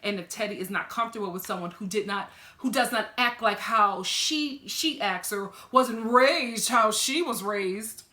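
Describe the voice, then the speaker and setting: Young female reviewer, sassy and animated, bright tone in a reverberant room. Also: normal speed, hardly any pauses. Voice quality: fairly deep voice. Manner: animated, sassy, talks straight to the people on screen. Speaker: reality TV reviewer. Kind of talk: casual reaction commentary.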